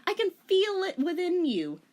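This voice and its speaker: A woman's voice: extremely high pitch, huge pitch swings, moderate pace, darkish tone, pitched above the performer's own voice, exaggerated voice. A woman voicing a boastful, flirty female bending tutor in a gushing, dramatic manner. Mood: excited.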